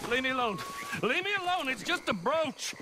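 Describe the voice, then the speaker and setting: An actor playing an indignant, cowardly, self-important gentleman in a video game, whiny and blustering. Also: extremely high pitch, sing-song, normal speed, heavy background noise. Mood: alarmed, indignant. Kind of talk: dramatic video game acting.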